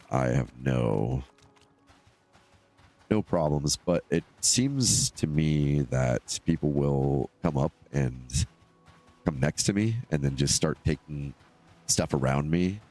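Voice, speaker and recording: A man with a stuffed-up, nasal voice giving casual, low-key, rambling solo commentary as he live streams a game. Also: measured pace, a little mellow. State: congested from allergies.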